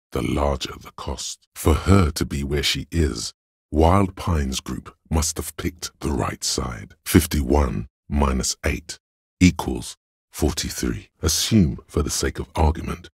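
A man voicing a cold, analytical inner voice in a detective video game, very deep, gravelly and husky, speaking in a measured, detached way. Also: no echo, studio quality, heavily acted. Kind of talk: scripted video game narration.

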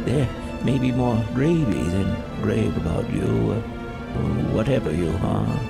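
An actor in an audio drama playing a frightened, crotchety old Victorian miser, stammering and theatrical. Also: male voice, lowish voice, very animated, creaky, loud music behind the voice, full character voice. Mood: defiant, scoffing. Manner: stammering, halting, theatrical, swooping delivery. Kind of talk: audio drama acting of a Victorian ghost story.

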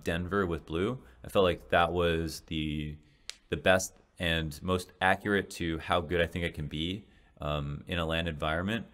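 A young man in his twenties with a deep, slightly raspy voice, hosting a casual solo podcast and rambling with many restarts. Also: fairly bright tone. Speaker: podcast host and esports caster. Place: small home room, indoors. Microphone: large studio mic in front of face.

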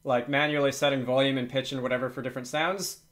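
A man's voice: medium pitch, level tone, fast talker, fairly bright tone. A man presenting a casual, unscripted screencast tutorial, hesitantly thinking aloud as he works. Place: indoors at a computer.

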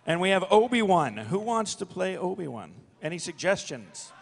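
A man's voice: sits high, very animated, moderate pace, creaky. A man moderating a panel, announcing a cast to a live audience into a table microphone on stage.

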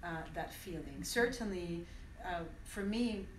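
A woman's voice: fairly low pitch, a little slow, slight background noise. Woman speaking in an echoey classroom. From Venezuela. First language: French. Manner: reflective, with many restarts and hesitations. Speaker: conference speaker. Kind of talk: personal talk to an audience. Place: university classroom, indoors. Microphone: standing mic, recorded by a phone from the audience. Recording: echoey room from far off.

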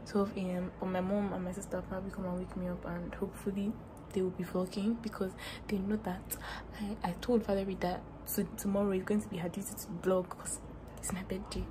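A woman in her twenties with a Nigerian accent, chatting casually into a phone held at arm's length in her bedroom, animated and self-mocking, sounding sleepy and tired. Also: mid-range voice, a little fast, noisy background. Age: 20s. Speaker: vlogger. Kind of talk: casual selfie vlog chat. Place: small bedroom indoors.

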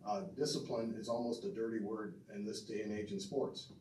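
Low-voiced man speaking in an echoey hall. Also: fairly even intonation, fairly quick, mellow. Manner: earnest, reads from notes with restarts and fillers. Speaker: speaker inducting his father into a hall of fame. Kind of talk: prepared tribute speech with asides to the audience. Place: banquet room, speaking at a podium. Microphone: podium mic heard from a far camera. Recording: roomy and distant with faint room noise.

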